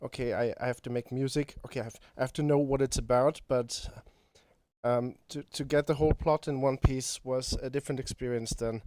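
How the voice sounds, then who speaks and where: A male guest speaks casually and haltingly, repeating words as he finds his way. His pitch is middling and the recording is dry and close. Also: fairly even intonation, a little mellow, no room sound. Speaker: guest composer. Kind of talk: casual chat.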